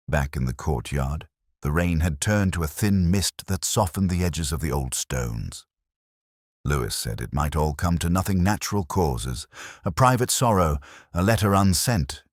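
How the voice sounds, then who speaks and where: Male narrator for a literary detective mystery: very low, husky voice, wry and measured. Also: dry recording, studio quality. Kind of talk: scripted first-person detective mystery narration.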